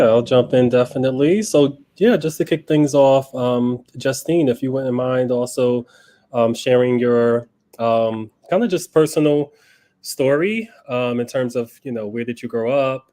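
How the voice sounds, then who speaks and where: A man co-hosting a panel, relaxed and gently inviting guests to share. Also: medium pitch. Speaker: co-host.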